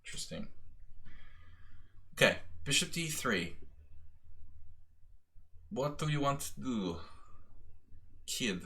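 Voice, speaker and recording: A man's voice: medium pitch, expressive, slow, long pauses, pushed and bright. A man streaming his chess games, chatting casually with viewers and teasing his opponent while he thinks about his moves. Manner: teasing, mock-scolding the opponent. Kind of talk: casual live-stream commentary.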